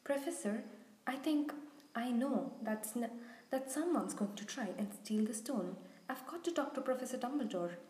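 Casting: female narrator as earnest, defiant schoolboy hero, urgent delivery, mellow tone, roomy sound. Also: a little high, expressive, normal speed, natural voice. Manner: urgent, insistent.

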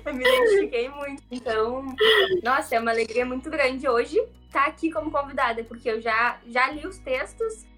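A woman speaks as an enthusiastic podcast guest, effusive and eager. Her voice is notably high. Soft music plays faintly behind her. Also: animated, normal speed. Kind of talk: casual podcast chat.

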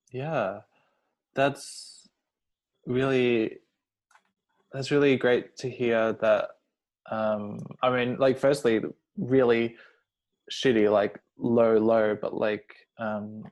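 A man's voice: medium pitch, level tone, takes it slowly, long pauses, darkish tone. A young adult man talking casually and personally, hesitant and rambling, leaning heavily on filler words. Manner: hesitant, rambling, heavy use of "like".

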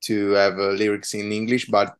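A man's voice: fairly low pitch, completely flat delivery, moderate pace, clear, resonant tone. A man with an Italian accent, in his thirties, a punk musician answering interview questions in a hesitant, casual way with many fillers. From Italy.

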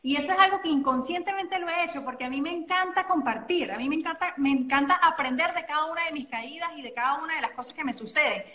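A Venezuelan woman, a guest coach and model, talking in a chatty, animated way and often correcting herself mid-sentence. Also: high-pitched, fast, few pauses, reverberant. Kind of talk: casual interview chat.

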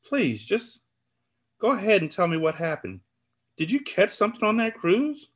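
A man's voice: sits high, sing-song, moderate pace, voice pushed up. A man voicing a woman in an audiobook drama, pleading and placating as she talks to her friend. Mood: curious. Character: guilt-ridden woman narrator pleading with her angry friend.

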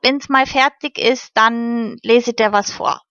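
A woman's voice: on the high side, fairly even intonation, measured pace, bright, forceful tone, smooth, dry recording. A woman reading the part of a cheerful, grateful young girl with folksy southern German turns of phrase. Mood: eager. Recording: slightly muffled recording.

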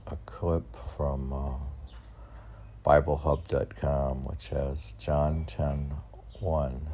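A man casually reading poetry and chatting about it in a halting, offhand way. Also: extremely low pitch, little pitch movement, warm tone.

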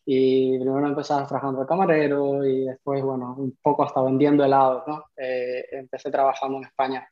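A man's voice: medium pitch, little pitch movement, a little fast, hardly any pauses. A man in his thirties from Cuba, telling his story as a podcast guest in a conversational way. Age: 30s. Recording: slightly dull, lo-fi audio.

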